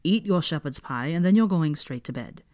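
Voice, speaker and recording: A woman's voice: deep voice, animated, normal speed, darkish tone, dry recording, studio quality. A woman voicing a bossy, protective young male wizard who speaks in a firm, commanding way in a story.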